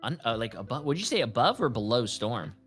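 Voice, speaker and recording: A man's voice: medium pitch, sing-song, brisk, fairly bright tone, faint music behind. A man in his early thirties chatting casually about gaming, loose and slangy, with frequent swearing. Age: early 30s. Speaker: guest player ranking game characters.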